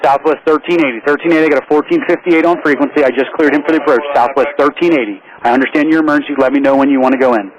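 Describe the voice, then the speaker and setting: A man working as an air traffic controller, giving calm, accommodating, businesslike instructions to pilots over aviation radio. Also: medium pitch, very fast, hardly any pauses, highs cut off, crowd noise.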